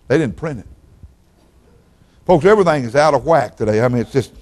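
A man's voice: mid-range voice, expressive, a little fast, darkish tone. An older man with a drawl delivers a sermon as a guest preacher. He talks in a homespun, wandering way, stumbling and repeating words as he goes. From Oklahoma, raised in Texas. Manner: folksy, rambling, repeats words. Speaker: guest pastor.

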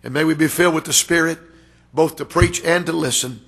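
A man preaching a revival sermon with a raspy voice, building to loud, emphatic, drawn-out delivery. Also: on the high side. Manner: rises to loud emphatic preaching, stretched words. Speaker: guest preacher.

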